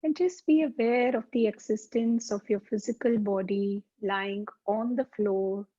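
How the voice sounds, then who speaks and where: A woman teaching yoga as a slow guided meditation, speaking calmly and deliberately with soothing pauses. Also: medium pitch. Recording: dull, muffled lo-fi sound.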